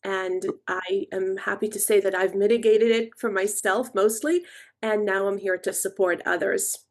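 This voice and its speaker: A woman's voice: mid-range voice, steady tone. Thoughtful woman coach from Israel. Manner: thoughtful, measured explaining. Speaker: coach and interview guest. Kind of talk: podcast interview.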